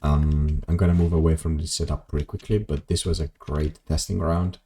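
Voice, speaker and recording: Very deep-voiced man rambling on stream. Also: fairly even intonation, brisk, warm tone, a little hiss or hum. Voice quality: very low voice. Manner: rambling, self-deprecating, many ums and restarts. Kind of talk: casual live-stream chat while gaming.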